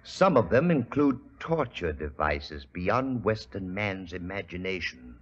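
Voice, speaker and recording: An actor playing an imperious, wealthy industrialist in an old radio mystery, speaking formally with coldly courteous menace. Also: male voice, low-pitched, very animated, unhurried, exaggerated voice. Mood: menacing. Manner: smooth-talking, formal, menacing. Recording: dull, hissy 1940s radio recording.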